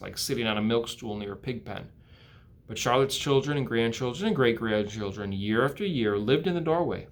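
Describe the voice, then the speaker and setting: American man, mid-pitched voice, storybook narration, educator reading aloud to children. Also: fairly bright tone.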